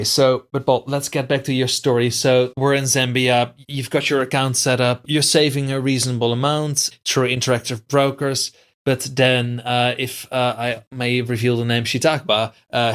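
Man, podcast host, monotone delivery, asks questions, says uh a lot. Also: mid-range voice, moderate pace, clear, resonant tone. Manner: frequent fillers like uh. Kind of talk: interviewing a guest.

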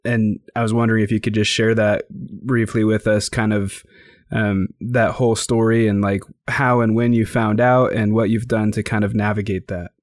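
Low, even-voiced male interviewer. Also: normal speed, dry recording, studio quality. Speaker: interviewer, host. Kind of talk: casual interview.

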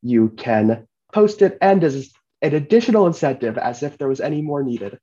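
A man hosting a podcast, talking in a relaxed, chatty way. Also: medium pitch, normal speed, highs cut off. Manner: relaxed, chatty, uses fillers. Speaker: podcast host. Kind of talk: casual podcast chat.

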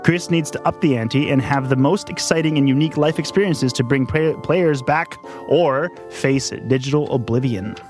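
A man's voice: mid-range voice, moderate pace, few pauses, darkish tone, faint music behind. A man in a comedy podcast, steadily reading a story premise aloud. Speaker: improv podcast performer.

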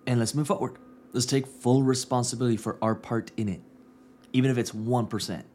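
A man's voice: mid-range voice, steady tone, fairly quick, a little mellow, slight background noise. A man in his thirties hosting a coaching podcast, talking earnestly and repeating key phrases for emphasis. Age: 30s. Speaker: podcast host and business coach. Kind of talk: casual reflective monologue.

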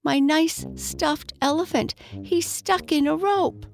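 A woman voicing a small, excitable boy in a children's storybook, pleading and insistent, with a whiny edge. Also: very high voice, unhurried, vocal fry, faint music behind, pitched above the performer's own voice, exaggerated voice. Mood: near tears. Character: small boy, excitable, distressed, pleading over his toy.

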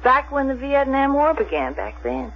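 A middle-aged small-town woman, reminisces in a high, husky voice. She is wistful and reluctant, hesitating as she confides. The sound is that of a dull 1970s radio drama recording. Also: big pitch swings, normal speed, faint music behind, full character voice. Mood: nostalgic.

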